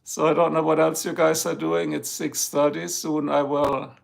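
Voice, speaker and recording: A man's voice: a little high, little pitch movement, moderate pace, vocal fry. An older man from Germany with a German accent, hosting a rambling, jokey live stream chat from home and talking to his viewers by name. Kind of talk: casual chat. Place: home, in Ohio.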